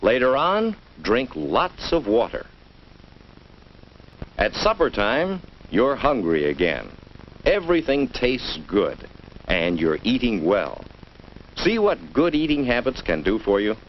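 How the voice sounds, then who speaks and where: An American man narrating a scripted 1950s instructional film for children, coaxing and didactic, with deliberate phrasing, on an old film soundtrack. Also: medium pitch, big pitch swings. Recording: muffled, noisy early-1950s film soundtrack.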